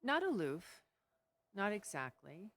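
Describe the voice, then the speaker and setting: An actress playing a poised, worldly immortal woman with a measured, polished delivery in a video game. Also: mid-range voice, sing-song, fairly bright tone, dry recording, full character voice. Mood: wistful, reflective. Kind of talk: dramatic video game dialogue. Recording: music behind the voice.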